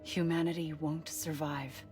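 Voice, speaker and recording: An actress playing an earnest, idealistic American woman leader in a video game, speaking softly and sincerely with measured phrasing. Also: low-pitched, flat delivery. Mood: earnest, resolute. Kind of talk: dramatic cutscene acting. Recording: music under the dialogue.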